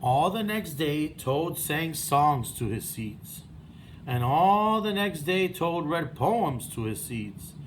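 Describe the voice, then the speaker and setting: High-pitched man reading aloud to children. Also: big pitch swings, takes it slowly, a little hiss or hum, low-quality recording. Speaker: first-grade teacher. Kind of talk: children's read-aloud narration.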